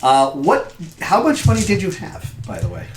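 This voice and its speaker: An American man improvising tabletop game narration as game master, halting and thinking aloud. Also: high-pitched, sing-song. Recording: roomy echo, faint noise.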